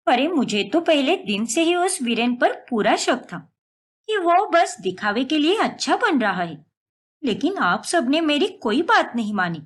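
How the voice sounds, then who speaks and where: A woman's voice: sits high, big pitch swings, unhurried. A woman voicing an insistent, scheming young sister-in-law in a dramatic cartoon family melodrama. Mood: indignant, urging.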